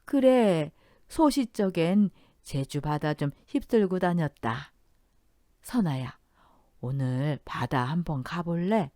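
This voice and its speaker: A woman's voice: sits low, lively intonation, slow pace, mellow, no echo, clean studio sound. A woman voicing a kindly, spirited elderly mother-in-law with an affectionate, plain-spoken manner in a radio story. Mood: playful. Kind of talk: Korean radio story dialogue.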